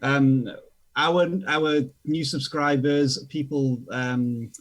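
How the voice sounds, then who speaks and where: Yorkshire male guest, casual and halting, mid-range voice. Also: mid-range voice. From England. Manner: relaxed, hesitant with many fillers, self-deprecating. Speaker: guest expert. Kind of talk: casual online show chat.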